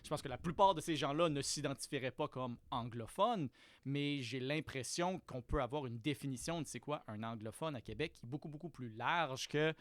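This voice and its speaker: A man from Quebec City with a Quebec French accent, a podcast guest explaining things in a thoughtful, measured way with frequent restarts. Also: mid-range voice, dry recording. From Quebec City, Canada. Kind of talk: explanatory conversational interview.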